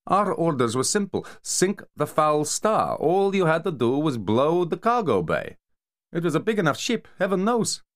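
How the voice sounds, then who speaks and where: A man voicing a sharp-tongued, sarcastic mafia enforcer who scolds his partner. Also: fairly high pitch, sing-song, moderate pace, voice pushed up. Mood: scornful.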